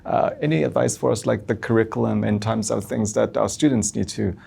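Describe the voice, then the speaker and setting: A man with a fairly low, creaky voice moderates an online conversation. He puts his questions haltingly, piecing them together in short phrases as an interviewer. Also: fairly even intonation, darkish tone. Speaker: interviewer, academic host.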